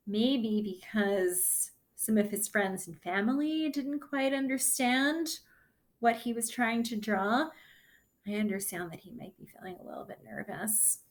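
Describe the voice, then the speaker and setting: A woman giving an expressive children's picture book read-aloud in a deliberate, explanatory manner, as if to young listeners. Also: a little high. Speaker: story reader.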